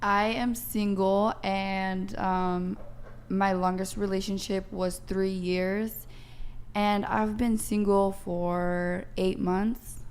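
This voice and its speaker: A woman's voice: medium pitch, takes it slowly, clean tone. A nineteen-year-old woman from Northern California, a podcast guest giving short, plain answers. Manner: flat, brief answers.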